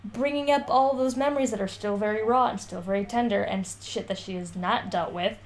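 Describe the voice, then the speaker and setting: A woman's voice: medium pitch, brisk, a little hiss or hum, low-quality recording. A woman co-hosting a podcast, talking through a book casually in an informal, blunt, sweary way. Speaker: podcast co-host.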